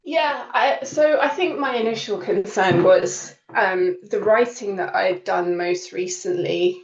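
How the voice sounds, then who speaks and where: A woman's voice: medium pitch, a little slow, distant mic. A woman talks informally as a student at an online open day, in a reflective, explanatory way. She sounds set back from the microphone in a roomy space. The call audio is slightly dull. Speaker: creative writing student on a panel. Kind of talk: informal testimonial talk at a virtual open day. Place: indoor room on a video call.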